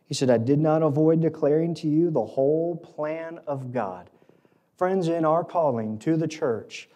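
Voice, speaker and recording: A man's voice: fairly high pitch, mellow. A middle-aged American man preaching a sermon as a pastor, emphatic, building short repeated phrases at a lectern microphone. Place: auditorium stage at a lectern, indoors.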